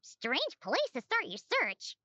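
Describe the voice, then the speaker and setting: A woman's voice: high-pitched, wildly swooping pitch, slow, sharp tone, no room sound, lo-fi sound, exaggerated voice. A woman voicing a tiny, childlike fairy companion in a video game, cheeky, chatty and perky. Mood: inquisitive, teasing. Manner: perky, exclaiming, refers to self in third person. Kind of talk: video game character dialogue.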